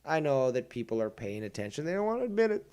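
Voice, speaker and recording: A man's voice: medium pitch, very animated, fast, a little mellow, clear, resonant tone. A man hosting a livestream, talking in a combative, mocking and profane way. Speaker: streamer host. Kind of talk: livestream commentary.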